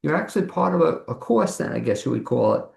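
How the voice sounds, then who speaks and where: A man's voice: a little high, sing-song, fast, creaky. A man hosting an interview, asking guests questions in a casual, chatty way. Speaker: interviewer, host. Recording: roomy, echoing sound.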